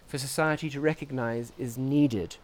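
Male interviewee, scientist. Mid-pitched, measured and articulate, reflective academic delivery. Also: fairly even intonation, a little mellow. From grew up in Portugal, England and the US. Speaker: interviewee, scientist at a research institute.

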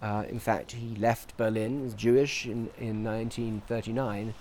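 A man giving reflective interview answers as a scientist, speaking in articulate, measured academic phrases. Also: fairly low pitch, little pitch movement, darkish tone, a little hiss or hum. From grew up in Portugal, England and the US. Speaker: interviewee, scientist at a research institute.

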